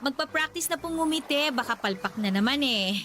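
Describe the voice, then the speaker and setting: A woman's voice: high voice, lively intonation, a little fast, sharp tone, faint music behind. A woman voicing a meek, dutiful college-age daughter who speaks politely and hesitantly, in an audio drama. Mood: timid.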